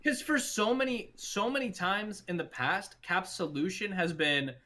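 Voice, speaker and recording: A man co-hosting a casual movie discussion, talking in an animated, opinionated, emphatic way. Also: high voice, measured pace. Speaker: podcast co-host.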